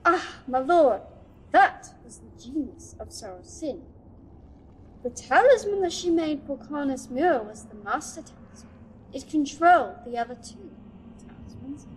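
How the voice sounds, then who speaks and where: Casting: actress as scholarly sci-fi archive keeper, nervous stammer, deferential yet fussy, pitched high. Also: female voice, animated, unhurried, a little hiss or hum, poor audio. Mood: scholarly excitement. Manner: nervous, stammering, deferential, bossy to her assistant. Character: flustered, eager scholarly female archive curator serving a Sith. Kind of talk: fan audio drama acting.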